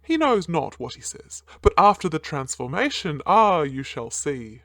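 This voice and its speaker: A woman's voice: sits low, very animated, a little slow, a bit bright, no room sound, pitched below the performer's own voice, exaggerated voice. Woman putting on a quavering, crafty old courtier. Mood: crafty, ceremonious. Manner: quavering, sly, ceremonious.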